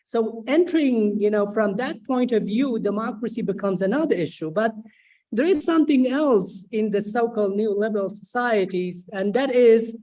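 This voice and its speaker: A woman from Sweden giving spontaneous academic remarks, deliberate and emphatic, repeating phrases for stress. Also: on the high side, warm tone, poor audio. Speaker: symposium host, social scientist. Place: online video symposium.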